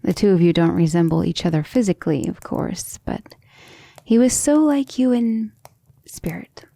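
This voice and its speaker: Woman playing a breathy, creaky-voiced tender vampire lady. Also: on the low side, animated, moderate pace, dark tone, no room sound. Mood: wistful, confiding. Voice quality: breathy, often dropping into whisper. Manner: affectionate, refined, hesitant musing. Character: centuries-old vampire woman, tender, proud, devoted lover. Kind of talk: ASMR roleplay, intimate and slow.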